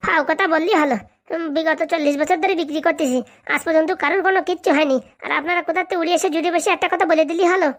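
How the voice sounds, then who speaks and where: A woman's voice: extremely high pitch, steady tone, rapid-fire. A woman voicing a brash, argumentative village oil seller in a comic cartoon, with a rural Bengali dialect and sarcastic back-talk. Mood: indignant.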